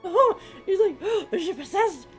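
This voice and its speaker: A woman's voice: extremely high pitch, animated, measured pace. A woman streamer giving chatty, amused live reactions to a game. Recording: voice over faint game music.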